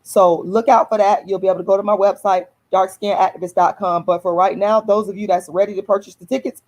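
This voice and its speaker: A woman's voice: medium pitch, steady tone, quick pace, a little mellow, poor audio. A woman, casually announcing an event to viewers, repeating herself and addressing them as y'all. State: hoarse. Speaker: activist host of her own channel.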